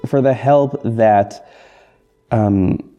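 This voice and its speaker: A man hosting a casual, unscripted podcast update, talking in a rambling, halting and effusive way. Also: lowish voice, mellow. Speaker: podcast host and audio drama creator. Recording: dull muffled sound with music bed. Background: backing music.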